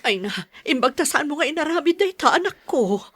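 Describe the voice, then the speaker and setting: A woman's voice: high voice, expressive, brisk, a bit bright. A woman playing a caring, protective middle-aged mother and wife in an audio drama. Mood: concerned, soothing.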